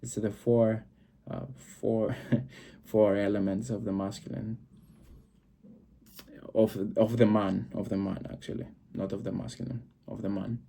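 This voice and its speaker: Greek-accented man with a very dark voice. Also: fairly low pitch, flat delivery, a little slow, vocal fry, husky. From Greece. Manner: searching for words, frequent fillers and restarts. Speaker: video host. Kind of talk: reflective solo talk to camera.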